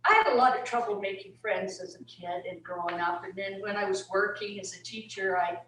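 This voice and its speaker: An older woman from England, a retired teacher, telling her story at a community centre meeting in an unhurried way. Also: medium pitch, steady tone, a little hiss or hum. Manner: unhurried, warm, laughs at herself. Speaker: retired teacher, board candidate. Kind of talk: personal speech at a meeting. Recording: echoey room, far from recorder.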